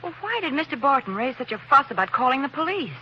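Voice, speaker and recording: A woman playing a spirited young woman with a lively, teasing manner in a 1940s radio drama. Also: high-pitched, fast talker. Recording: dull, hissy old radio recording.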